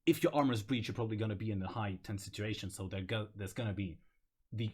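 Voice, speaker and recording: A man's voice: fairly low pitch, fast talker, a little mellow, husky. A man presenting game voice lines in casual banter, playful and given to explaining.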